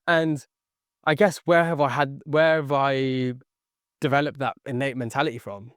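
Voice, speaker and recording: Earnest man, smooth dry studio voice. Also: medium pitch, fairly even intonation, moderate pace, dry recording. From born near London, raised in the Philippines. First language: Tagalog. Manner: earnest, repeats phrases, adds "okay" often. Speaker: guest, sales entrepreneur. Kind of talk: podcast interview.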